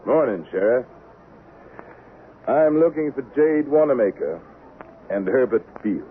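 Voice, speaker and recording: An actor playing a grim, mysterious stranger bent on revenge, terse and cold, in a 1970s western radio drama. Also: male voice, medium pitch, expressive, measured pace, slight background noise, lightly acted. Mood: curt, polite. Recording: lo-fi vintage radio, narrow band, music.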